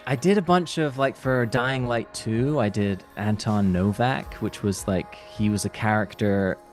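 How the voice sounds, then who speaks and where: A man speaking as a voice actor guest in a casual interview, halting and thinking aloud as he goes. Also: on the low side, faint music behind.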